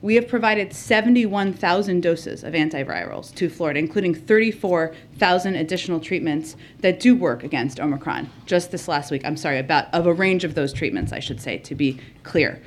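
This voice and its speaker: A woman answering a reporter at a press briefing, measured and explanatory. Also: lowish voice, slight background noise.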